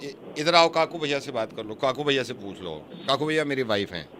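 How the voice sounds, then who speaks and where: A man's voice: mid-range voice, animated, fairly quick, background noise, close to an everyday voice. A man playing a harried husband on a prank phone call, apologetic and repeating himself as he pleads. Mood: pleading.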